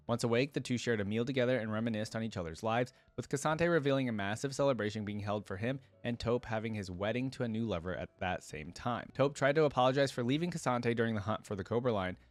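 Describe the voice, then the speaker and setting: A man's voice: medium pitch, dry recording. A man hosting a reaction video, reading lore aloud and adding casual, informal commentary as he goes.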